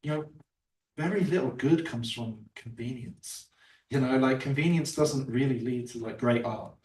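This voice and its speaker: A man's voice: medium pitch, normal speed, a little mellow. A man, an author, talking casually about his book at a bookstore event, rambling with many fillers and restarts. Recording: roomy, distant from the mic.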